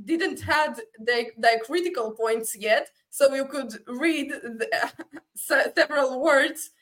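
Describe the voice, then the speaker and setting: A woman's voice: high-pitched, takes it slowly, fairly bright tone. A woman whose first language is Hebrew, speaking as a panelist, critical and argumentative, thinking aloud with fillers. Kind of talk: casual online panel discussion.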